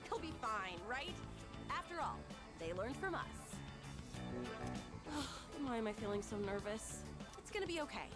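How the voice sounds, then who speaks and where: A woman's voice: on the high side, sing-song, measured pace, pauses often. An actress voicing an anxious, caring young woman in a video game. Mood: nervous, hopeful. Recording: loud game music behind.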